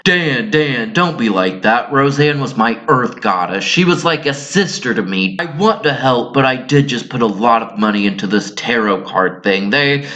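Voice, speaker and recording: A man's voice: medium pitch, animated, normal speed, hardly any pauses, pushed and bright, highs cut off. A man voicing a flighty, theatrical middle-aged New Age woman in a cartoon, dramatic and pleading.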